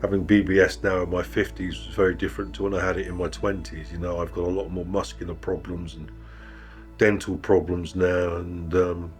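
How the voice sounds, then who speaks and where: A man in his fifties speaking reflectively and wryly in a documentary interview, pausing as he recounts his experiences. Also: low voice, little pitch movement, soft background music. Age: 50s. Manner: reflective, wry, measured with pauses. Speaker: interviewee, musician and sound engineer.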